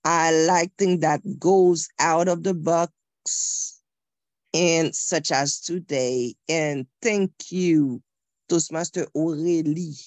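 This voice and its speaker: Slow-speaking woman, French native speaker. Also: low voice, steady tone, a little mellow, no echo. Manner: unhurried, halting. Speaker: meeting attendee.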